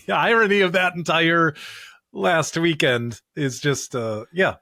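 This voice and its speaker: An American man co-hosting a conversational Bible discussion podcast, earnest and halting as he searches for his words and piles up clauses. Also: fairly high pitch, pushed and bright, no room sound, studio quality. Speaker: podcast co-host discussing scripture.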